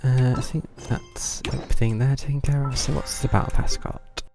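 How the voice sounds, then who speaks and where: A man's voice: mid-range voice, steady tone, moderate pace, gravelly, music in the background. A man casually commentating on his live gameplay, thinking aloud in a musing, rambling way. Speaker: video game streamer.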